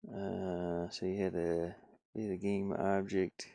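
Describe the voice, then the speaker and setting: Deep, gravelly man thinking aloud. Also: level tone, mellow, no echo. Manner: halting, muttering to himself, thinking aloud. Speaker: streamer and hobby game designer. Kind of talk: casual live commentary while drawing game art.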